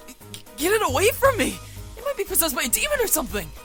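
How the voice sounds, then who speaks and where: An actor playing a cheerful, easily flustered boyish young incubus in a visual novel game, with a bouncy, theatrical delivery. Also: male voice, extremely high pitch, wildly swooping pitch, fast, pushed and bright, soft background music, exaggerated voice. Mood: panicked.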